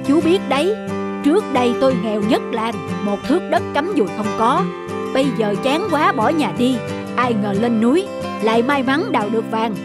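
A man voicing a genial, boastful, newly rich village neighbour in an animated folk tale, expansive and self-satisfied. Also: extremely high pitch, normal speed, loud music behind the voice.

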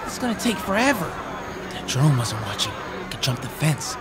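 An earnest, slightly nervous teenage boy in a cinematic video game. His voice sits on the high side and he talks in a casual, youthful way. Music plays clearly behind him. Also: big pitch swings, moderate pace, over a noisy crowd. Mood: tense, muttering. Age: young adult. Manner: casual, youthful muttering to himself. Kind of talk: naturalistic video game acting.

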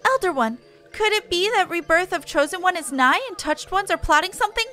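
A woman's voice: extremely high pitch, lively intonation, fairly quick, bright, forceful tone, faint music behind, pitched above the performer's own voice, full character voice. A woman voicing a small, earnest, worried forest sylph elder from a fantasy game, speaking in a quaint third-person manner. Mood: alarmed.

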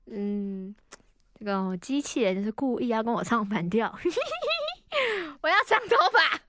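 High, lively Taiwanese woman bantering. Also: a little slow, dry recording. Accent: Taiwanese Mandarin. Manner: giggly, flustered, repeats words. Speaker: radio comedy performer. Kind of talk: playful improvised banter.

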